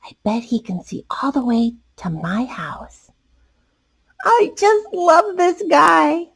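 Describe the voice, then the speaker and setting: A woman voicing an excitable, gushing young child in a storybook, squealing and wide-eyed. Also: high voice, slow pace, vocal fry, pitched above the performer's own voice, full character voice. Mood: awed admiration.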